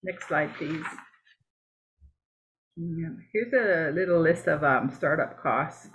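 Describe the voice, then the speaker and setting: A woman from Canada giving a slide presentation on beekeeping over a video call, talking in a halting, conversational way with many fillers and restarts. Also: low-pitched, slight background noise. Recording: narrow tinny video-call audio.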